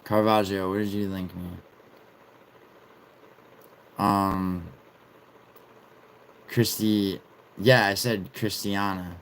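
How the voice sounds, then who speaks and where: A man's voice: sits low, steady tone, slow, a bit bright, slight background noise, rough recording. A young man hosting a casual livestream, rambling, swearing and reading viewer chat aloud. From born in Italy, raised in New York City. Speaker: livestream host.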